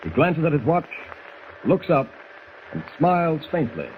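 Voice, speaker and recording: A man's voice: on the high side, very animated, measured pace, noisy background. A man narrating a 1940s radio adventure serial in a dramatic, suspenseful manner. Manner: dramatic, suspenseful scene-setting. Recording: thin, tinny old 1940s radio recording.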